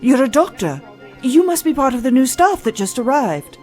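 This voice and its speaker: An actress playing a weary, dedicated and compassionate doctor in an audio drama, earnest and dutiful in manner. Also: female voice, high-pitched, sing-song, normal speed, a little mellow, soft background music. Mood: polite, businesslike.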